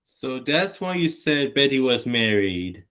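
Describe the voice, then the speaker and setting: A man playing a gentle, bashful middle-aged salesman in a 1940s-style radio play, with a hesitant, measured delivery. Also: mid-range voice, very animated. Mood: subdued, considerate.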